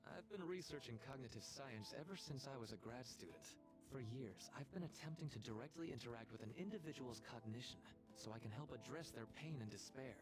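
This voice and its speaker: A man voicing an earnest, polite counselor and researcher in scripted game dialogue, speaking in a measured, formal way. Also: medium pitch, animated, music in the background, natural voice. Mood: sincere confession.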